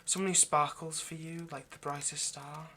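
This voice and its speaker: Man playing an anxious lovesick vampire, bright-voiced. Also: fairly high pitch, steady tone, a little hiss or hum. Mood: tender, wistful. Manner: halting, stammering, pleading. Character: anxious, lovesick male vampire kidnapper, centuries old but youthful. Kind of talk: intimate ASMR audio roleplay.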